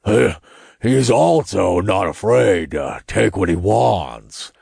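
A man's voice: on the low side, expressive, slow, vocal fry, darkish tone, husky, dry recording, studio quality, heavily acted. A man playing a big, bulky, grumbling male demon in an audiobook, blunt in manner. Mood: ribbing.

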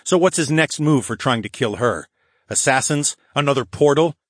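A man's voice: medium pitch, normal speed, no room sound, clean studio sound, voice pushed up, close to an everyday voice. A man voicing a cocky, tough dragon hero who taunts a prisoner in an audiobook. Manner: taunting, sneering.

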